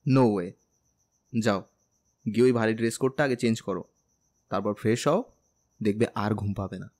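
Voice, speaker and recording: A man's voice: fairly low pitch, normal speed, darkish tone, natural voice. A young man playing a caring, playful husband who coaxes and teases in a romantic audio story. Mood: playful, insistent. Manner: teasing, coaxing.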